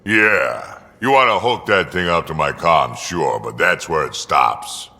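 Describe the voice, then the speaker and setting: An actor playing an ancient, gruff alien mercenary and proud grandfather in a video game, blunt, dry and salty, with a gravelly rumble. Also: lively intonation, a little slow, pushed and bright, in a large hall, exaggerated voice. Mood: stern, blunt. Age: older. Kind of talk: video game character dialogue.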